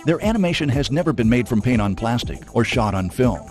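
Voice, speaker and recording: A man's voice: mid-range voice, big pitch swings, fairly quick. Guy doing scripted documentary narration, music playing behind him. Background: background music.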